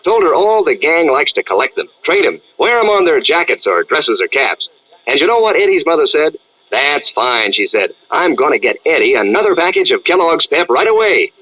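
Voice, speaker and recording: A man's voice: a little high, very animated, moderate pace. An American man as a radio commercial announcer, chatty and folksy in a 1940s ad style. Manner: chatty, salesmanlike. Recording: dull vintage radio sound.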